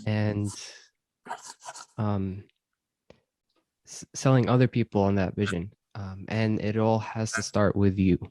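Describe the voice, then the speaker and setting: A man from Syria, host of a self-improvement podcast, answering interview questions in a thoughtful, measured way with frequent ums. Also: lowish voice, steady tone, a little mellow, no room sound. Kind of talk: reflective conversational interview.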